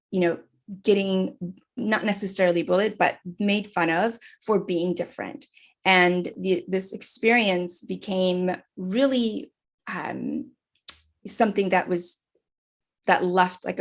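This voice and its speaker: Creaky-voiced woman telling her story. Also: lowish voice, a bit bright. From Philippines. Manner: earnest, with frequent fillers and restarts. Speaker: speaker. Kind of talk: personal storytelling talk.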